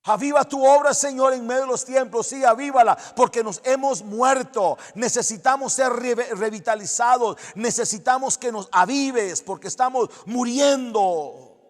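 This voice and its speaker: High-voiced man preaching in an echoing hall. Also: normal speed. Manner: earnest, exhorting, repeats phrases for emphasis. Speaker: pastor. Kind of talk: sermon.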